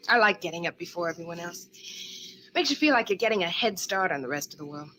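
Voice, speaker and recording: Over a noisy vintage radio recording, a confident young lady of means. She talks quickly and breezily, polite but persistent, with lively swoops of pitch. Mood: breezy. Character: confident, friendly, persistent well-to-do young woman. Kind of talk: old-time radio drama acting.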